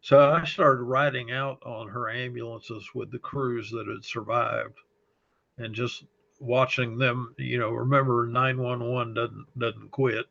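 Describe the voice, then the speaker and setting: Slow, gravelly man with a slight Texas accent. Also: mid-range voice, fairly bright tone. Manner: unhurried, halting, with many pauses and fillers. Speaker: fire service chaplain and paramedic. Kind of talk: recounting memories in an interview. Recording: dull lo-fi audio.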